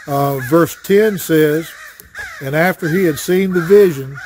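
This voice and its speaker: An older man teaching a Bible study lesson outdoors in a wooded yard, talking in a plain, folksy way with frequent pauses, recorded on a camera at chest height. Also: on the high side, a little mellow, slight background noise. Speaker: associate pastor teaching Sunday school. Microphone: webcam or phone framed at chest height. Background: crows cawing.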